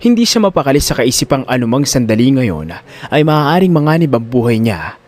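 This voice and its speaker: A man's voice: mid-range voice, very animated, brisk, darkish tone, soft background music. A man narrating a scripted audio drama in a dramatic storytelling style.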